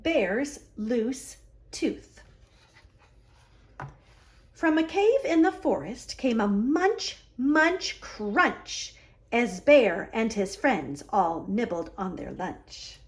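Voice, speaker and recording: A woman reading a picture book aloud for a children's story hour, expressive, with drawn-out emphasis on key words. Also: on the high side. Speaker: library story hour reader.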